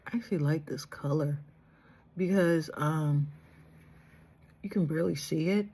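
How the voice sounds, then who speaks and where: A woman vlogger chatting casually through a makeup tutorial, soft-spoken and trying to project with a sore, strained throat. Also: low voice, measured pace, slightly rough. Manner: chatty, addresses viewers directly, trails off and restarts. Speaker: beauty vlogger.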